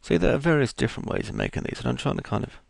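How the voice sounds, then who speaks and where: Croaky male tutor, deep gravelly voice, dry close mic, lively delivery. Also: racing, dry recording. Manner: casual, talks through each step as he works. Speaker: 3D modeling tutor. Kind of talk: screen-recorded software tutorial.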